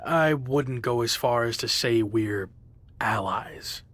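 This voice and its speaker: A man's voice: medium pitch, slow, fairly bright tone, dry recording. A man playing a proud, guarded young wrestling champion who keeps to himself, in a video game cutscene. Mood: guarded, firm.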